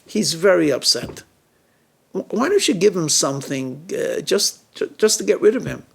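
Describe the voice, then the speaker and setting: A man voicing a shrewd, stern rabbi in a told story, speaking with deliberate authority. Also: sits high, big pitch swings, creaky, husky, full character voice. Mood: calm, persuasive. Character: shrewd, commanding male rabbi, stern judge of wrongdoers.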